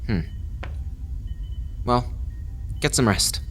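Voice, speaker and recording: A man's voice: medium pitch, very slow, fairly bright tone, soft background music. An actor playing a skeptical, dry-humored man who asks probing questions casually in an audio drama.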